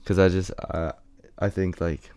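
A young man rapper answering interview questions in a raspy, deep voice, halting with many fillers. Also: level tone, a little mellow, slight background noise. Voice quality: raspy and deep right now.

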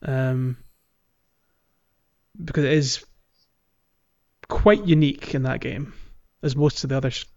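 A man's voice: mid-range voice, fairly even intonation, darkish tone. A man co-hosting a casual gaming podcast, chatty and jokey, in loose conversational talk. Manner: jokey, chatty, quick to laugh. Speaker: podcast co-host. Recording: dull, lo-fi voice recording.

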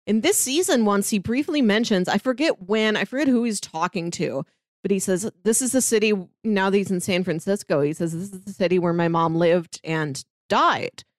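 A woman in casual conversation, picking apart a TV season with an easy, analytical flow and frequent little laughs. Her voice sits at a middle pitch for a woman and comes across dry and close, with no room sound. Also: no echo. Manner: chatty, analytical, laughs often. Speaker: podcast co-host. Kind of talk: casual TV recap chat.

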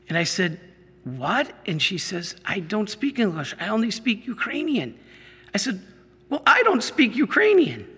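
High, breathy priest in a reverberant hall. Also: male voice, a bit bright. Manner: slow storytelling, halting restarts, rising to emphatic teaching. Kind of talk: sermon with a personal story.

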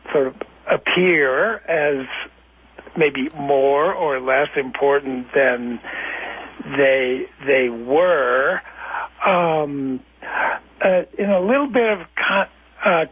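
Male expert guest, slightly high husky voice, narrow telephone sound, careful halting explanations. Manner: halting, careful, restarts phrases. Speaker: architect and historian, interview guest. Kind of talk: unscripted explanatory interview answers. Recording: narrow tinny phone line.

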